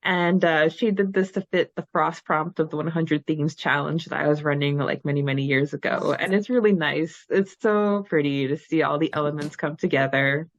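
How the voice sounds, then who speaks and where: A woman's voice: sits low, moderate pace, no room sound, highs cut off. A woman co-hosting a podcast in casual, chatty talk, prone to giggling. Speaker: podcast co-host. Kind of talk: casual chat about a fan fiction.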